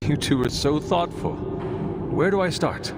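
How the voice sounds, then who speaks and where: An actor playing a courteous, reserved video game hero who speaks formally and politely. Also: male voice, a little high, a little slow, over loud music. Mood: curious.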